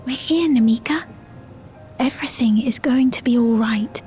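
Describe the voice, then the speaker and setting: An actress playing a gentle, composed woman leader in a game cutscene, speaking formally and with measured reassurance. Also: fairly high pitch, soft background music, natural voice. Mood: soothing. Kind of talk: dramatic video game cutscene acting.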